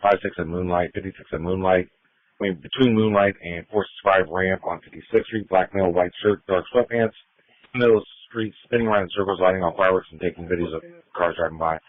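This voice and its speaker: A man's voice: sits low, fairly even intonation, fast, no echo. A man giving clipped, businesslike police radio dispatches over a tinny scanner.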